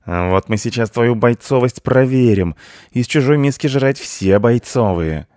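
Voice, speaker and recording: A man's voice: medium pitch, lively intonation, slow, darkish tone, dry recording, clean studio sound, pitched above the performer's own voice. A man voicing a rude, hot-tempered ginger tomcat in an animal story, shrieking and hissing threats. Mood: outraged, threatening.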